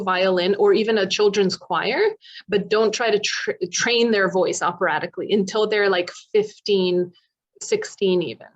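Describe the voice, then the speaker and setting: A woman's voice: medium pitch, poor audio. A woman from Poland giving an informal online presentation and answering questions, talking in a chatty, explanatory way as a singer and vocal coach. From born in Poland. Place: online video meeting.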